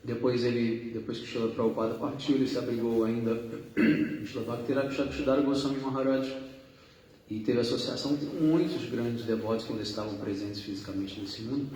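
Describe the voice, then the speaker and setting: A man's voice: mid-range voice, flat delivery, fairly quick, darkish tone, slight background noise. Man, echoey hall, distant mic. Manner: rambling, self-correcting, frequent fillers. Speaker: group leader introducing guest speakers. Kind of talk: informal introduction at a religious gathering.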